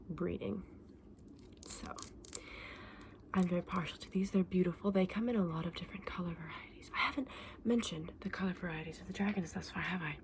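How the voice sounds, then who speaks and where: Soft, whispery saleswoman of fantasy creatures, played by a woman. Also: fairly low pitch, slight background noise. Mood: informative, fond. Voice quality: soft, breathy, often slipping into whisper. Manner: gentle, unhurried, explanatory, addressing a customer. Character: friendly, knowledgeable female dragon egg saleswoman. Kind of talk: ASMR roleplay, soft-spoken and whispered.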